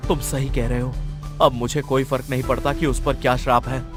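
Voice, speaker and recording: A man's voice: mid-range voice, little pitch movement, a little fast, over music, close to an everyday voice. A man playing an earnest, determined, lovestruck king in a cartoon fairy tale. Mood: resolute. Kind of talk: Hindi cartoon story voice acting.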